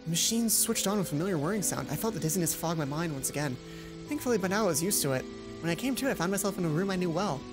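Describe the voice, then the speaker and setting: A woman with a fairly low voice reads a visual novel aloud over clear background music. She hosts casually, slipping chatty, playful asides in between the narration. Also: animated, rapid-fire. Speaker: let's play host. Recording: music behind the voice.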